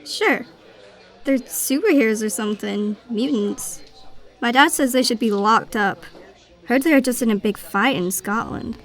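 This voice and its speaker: A woman's voice: a little high, unhurried. A woman playing a curious, candid young teenage girl who speaks in a casual youthful way in an audio drama. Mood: eager, candid.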